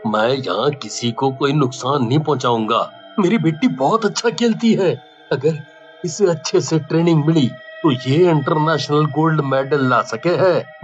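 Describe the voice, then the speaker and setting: A man voicing a gruff, protective ghost father, a wrestler with a Haryanvi accent, in an animated story. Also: fairly high pitch. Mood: earnest, pleading. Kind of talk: Hindi animated moral horror story acting. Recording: muffled recording with music bed.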